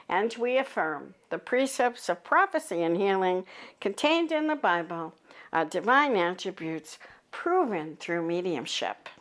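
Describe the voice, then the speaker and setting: A woman minister leading a church service, guiding a meditation in a calm, measured way and reciting a declaration of principles. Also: mid-range voice.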